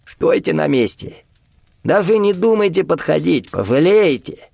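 A man voicing a nervous, aggressive old teacher in an audiobook, with a strained shouting voice and a snappish, threatening way of drawing out words. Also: a little high, sing-song, a little slow, vocal fry, husky, no room sound, pitched above the performer's own voice, full character voice. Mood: nervous, angry.

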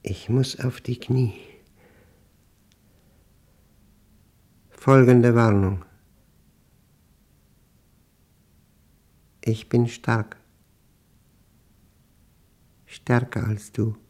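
An actor playing an obsessed, paranoid man in a radio drama monologue, speaking intensely in halting, clipped fragments as he battles a machine he fears. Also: mid-range voice, steady tone, darkish tone. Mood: grim resolve.

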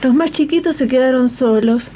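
A woman plays an anxious single mother worn down by housework jobs, with an Argentine accent. Her voice is high and very mellow, plaintive and colloquial. The sound is a dull, old 1980s radio drama tape. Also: moderate pace, a little hiss or hum, natural voice. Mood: worried, weary. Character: anxious, overburdened single mother working as a maid. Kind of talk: 1980s community radio play acting.

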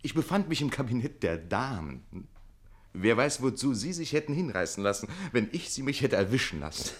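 A man's voice: medium pitch, sing-song, moderate pace, natural voice. A man playing a witty, irreverent manservant in a theatrical radio play, with a glib and ironic delivery. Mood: cheeky. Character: witty, cheeky manservant, quick-thinking and irreverent.

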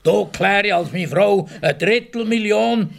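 A man's voice: high voice, unhurried, vocal fry. An actor playing a simple, earnest mountain farmer and father in a radio play, with a Swiss German accent. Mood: insistent.

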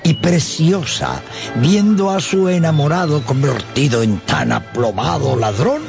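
An actor playing a dramatic radio narrator of an old tale, given to confiding asides to the listener. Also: male voice, a little high, animated, a little slow, gravelly. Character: animated male storyteller of an old tale. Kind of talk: theatrical radio storytelling narration. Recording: music behind the voice. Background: music.

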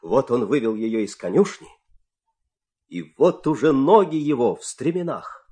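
Animated male fairy-tale narrator. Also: mid-range voice, unhurried. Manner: dramatic, storytelling delivery.

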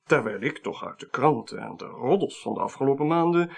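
A man's voice: medium pitch, lively intonation, brisk, gravelly, darkish tone, slightly rough, heavily acted. An actor in a 1980s radio drama playing an obliging, chatty male official with a hesitant, wry manner. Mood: affable, wry.

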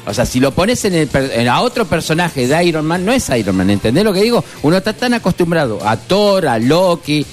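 A man's voice: fairly high pitch, brisk, few pauses, faint music behind. A man co-hosting a casual radio show, chatty and full of fillers. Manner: lively, chatty, many fillers and laughs. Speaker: radio co-host.

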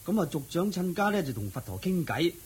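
A man's voice: fairly high pitch, big pitch swings, fairly quick, breathy edge, heavily acted. A man playing an eager, deferential go-between in a Cantonese radio drama, busy in manner, with a Hong Kong accent. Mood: breathless reporting. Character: eager, fussy servant go-between called Ah Yau.

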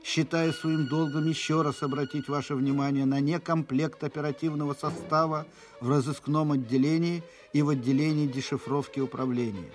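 An actor playing the formal, official voice of urgent military dispatches in a radio play. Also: male voice, on the high side, fairly even intonation, moderate pace, soft background music, lightly acted. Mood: official, stern. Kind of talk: dramatic document reading for radio play.

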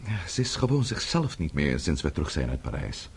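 An actor in a radio drama playing a worried, courteous husband, hesitant and polite, with a very husky voice. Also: male voice, rumbling low, big pitch swings, brisk, full character voice. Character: worried, courteous husband, deferential to his father-in-law.

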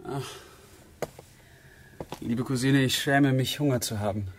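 An actor in a radio drama playing a young, grieving gentleman who is tender and ashamed. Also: medium pitch, unhurried, slight background noise, lightly acted. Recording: slightly distant from the mic.

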